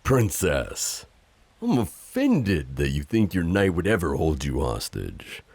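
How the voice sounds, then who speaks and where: Very deep, gravelly knight voiced by a man. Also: huge pitch swings, slow, darkish tone, no room sound, exaggerated voice. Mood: tender, concerned. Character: loyal, teasing, overprotective male knight hiding a princess. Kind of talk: improvised romantic audio roleplay, addressing the listener.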